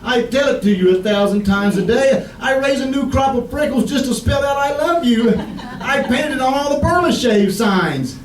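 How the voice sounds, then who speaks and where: A man's voice: extremely high pitch, normal speed, few pauses, far from the mic, a little hiss or hum. A man playing a sleepy, cynical, exasperated husband in a radio comedy sketch, with grumbling sarcastic comebacks. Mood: exasperated, indignant. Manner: grumbling, deadpan, flaring into shouts. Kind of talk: old-time radio comedy sketch acting. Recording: echoey live room, off mic.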